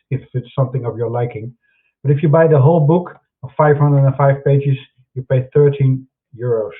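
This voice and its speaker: A man with a Dutch accent talks through his websites and books for an online audience. He speaks at a middling pitch and hesitates often, restarting his sentences. The sound is narrow and phone-like. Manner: hesitant, many fillers and restarts. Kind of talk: casual talk during an online broadcast.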